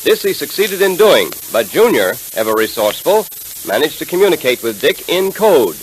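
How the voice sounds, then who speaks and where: A male radio announcer from the 1930s with a slightly high, very animated voice. His delivery is scripted, emphatic and enthusiastic, on a hissy old transcription. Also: normal speed, low-quality recording.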